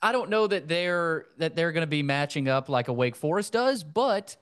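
A man's voice: on the high side, expressive, moderate pace, a bit bright, smooth. A man hosting a casual sports interview, talking in a wordy, roundabout way. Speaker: sports podcast host.

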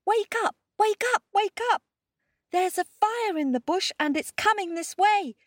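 A woman voicing a sly, mischievous little male hare in a children's story, with a high, bouncy voice. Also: lively intonation, measured pace, no echo, studio quality. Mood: mock alarm.

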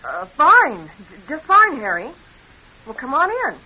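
An actress playing an anxious, caring American younger sister in a radio drama. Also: female voice, sits high, huge pitch swings, a little slow, pushed and bright, full character voice. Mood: excited, welcoming. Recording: dull, hissy vintage radio recording.

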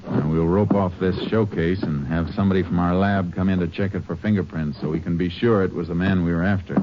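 A very deep-voiced American Texas Ranger, played by a male radio actor, steady and shrewd. He talks tersely, with dry authority, in 1950s radio drama style. The old recording is muffled and clearly noisy. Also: fairly quick, natural voice. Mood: businesslike. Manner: terse, authoritative, dryly sardonic.

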